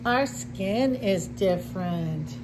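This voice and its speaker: A middle-aged woman reading a picture book aloud to young children, with deliberate simple phrasing and little asides. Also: mid-range voice, lively intonation, background noise. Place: indoor classroom with sink and counter.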